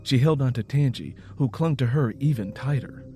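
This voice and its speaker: A man's voice: medium pitch, moderate pace, dark tone, soft background music. A man reading a dramatic story as a scripted audiobook narration.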